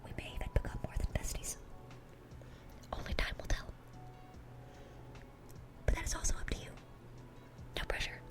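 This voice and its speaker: A woman's voice: normal speed, pauses often, music in the background, natural voice. A woman playing a dorky, eager young demon in a whispered ASMR roleplay, rambling sweetly and often repeating her words.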